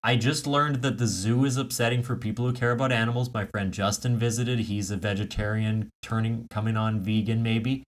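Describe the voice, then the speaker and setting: A man with a fairly low voice talks casually as a podcast co-host. He tells stories in an animated way, dropping self-mocking asides into loose comedic chat. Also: brisk, hardly any pauses.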